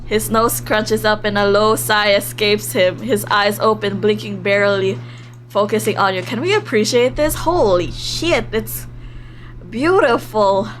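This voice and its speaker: A woman livestreaming a visual novel, reading story text aloud and commenting on it in an animated, reactive way. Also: medium pitch, measured pace, soft background music. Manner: animated, reactive, giggly, drops into hushed narration. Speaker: streamer playing a visual novel live.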